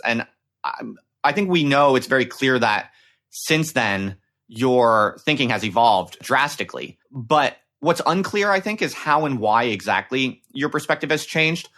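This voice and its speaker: A man's voice: mid-range voice, a bit bright. A man hosting a conversational interview, earnestly working through long, wordy, reflective questions. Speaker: interviewer, podcast host.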